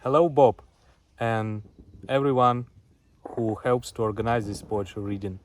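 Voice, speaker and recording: A man with a Ukrainian accent giving a deliberate spoken address to a poetry audience in short, separated phrases. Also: mid-range voice, slight background noise. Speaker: poet and front-line witness sending a message.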